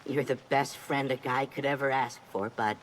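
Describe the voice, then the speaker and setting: A man's voice: mid-range voice, steady tone, normal speed, fairly bright tone, a little hiss or hum, natural voice. Earnest, loyal adventurer played by a man. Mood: resolved, tender. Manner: earnest, plainspoken. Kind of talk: scripted video game cutscene acting.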